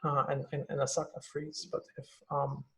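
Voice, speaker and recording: A man's voice: medium pitch, monotone, moderate pace, sharp tone. A man with a German accent, a company CEO speaking as a guest in a casual podcast conversation, candid and full of fillers, swearing now and then.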